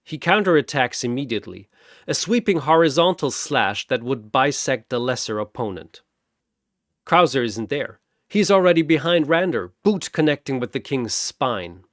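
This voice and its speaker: A man's voice: medium pitch, lively intonation, moderate pace, fairly bright tone, no room sound, clean studio sound. A man reading dramatic scripted story narration in a theatrical, punchy way.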